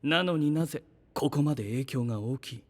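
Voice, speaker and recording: An actor playing an aloof, analytical young knight with a detached, haughty manner in a Japanese anime voice drama. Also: male voice, medium pitch, a little fast, natural voice. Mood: pondering. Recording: long hall reverb.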